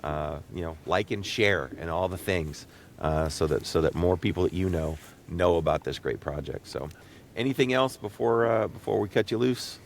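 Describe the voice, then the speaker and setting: A man hosting a casual interview podcast in an encouraging, appreciative manner. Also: normal speed. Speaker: podcast host. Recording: clear low rumbling background noise.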